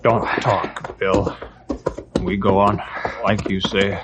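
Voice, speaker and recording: A stoic trail rider in an old radio western, low-voiced and slow. He uses few words in clipped, broken English. Loud noise runs through the vintage recording. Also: fairly even intonation. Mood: weary, grim. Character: Grey Wolf, stoic, terse male trail companion.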